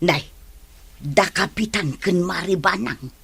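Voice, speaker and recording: A woman's voice: lowish voice, takes it slowly, vocal fry, a bit bright, breathy edge, exaggerated voice. A woman acting a skeptical village housewife in a comedic radio drama, speaking with an Ilocano accent. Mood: curious gossiping.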